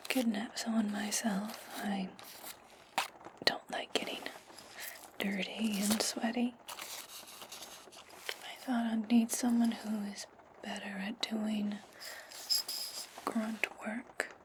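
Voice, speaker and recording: A woman playing an imperious, scheming witch in a breathy, condescending whisper for an ASMR fantasy roleplay. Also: medium pitch, flat delivery, noisy background, lightly acted. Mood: smug, scheming. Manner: slow, deliberate, condescending. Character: imperious, scheming witch giving orders to her servant.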